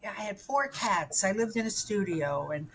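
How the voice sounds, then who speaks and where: A woman's voice: mid-range voice, expressive, moderate pace, heavy background noise. A woman in her seventies, from New York, telling her own story as an interview guest in a candid, rambling way with lots of fillers and restarts. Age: 70s.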